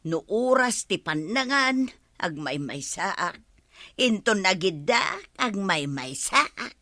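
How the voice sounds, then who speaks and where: Cheeky village woman, plaintive, drawn-out words, bright sharp voice, dry sound, radio comedy. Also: medium pitch, big pitch swings, dry recording, exaggerated voice. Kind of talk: Ilocano comedy radio drama acting.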